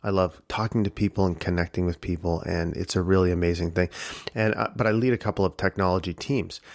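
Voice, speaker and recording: Husky-voiced man talking at dawn. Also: deep voice, fairly even intonation, fast, dry recording, studio quality. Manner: reflective, rambling with many fillers. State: early-morning tired voice. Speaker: podcast host. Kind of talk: casual solo talk.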